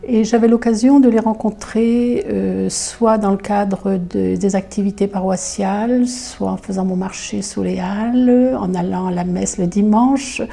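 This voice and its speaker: A woman in her seventies with a gravelly, creaky edge to her voice and a very dark, mellow tone. She speaks in a measured, reflective way. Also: medium pitch. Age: 70s. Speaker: lay associate of a religious congregation. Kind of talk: recorded personal testimony.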